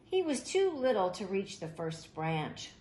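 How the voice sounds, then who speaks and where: Female storybook reader, lively and expressive pitch movement, children's picture book read-aloud, recorded in an echoey room with faint noise. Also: a little slow. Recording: roomy echo, faint background noise.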